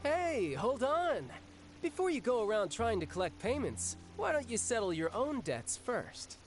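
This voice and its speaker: A man's voice: extremely high pitch, sing-song, a little slow, full character voice. A cocky young game agent talks in a smug, teasing, easygoing way. His voice swings freely up and down. Faint game music plays behind. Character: cocky young male bank agent, playful fighter. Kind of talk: video game voice acting.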